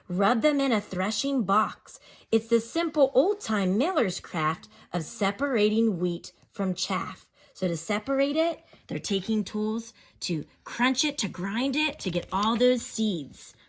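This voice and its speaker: A woman teacher reads a children's book aloud expressively, stopping to explain words and pose questions in an instructive way. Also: mid-range voice. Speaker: elementary school teacher reading a picture book aloud.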